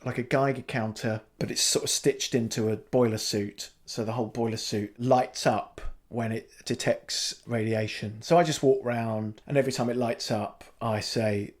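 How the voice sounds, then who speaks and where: A man improvising a fake life story as a comedy podcast guest, rambling in a deadpan way with many restarts. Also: mid-range voice, moderate pace, hardly any pauses. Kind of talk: improvised comic interview.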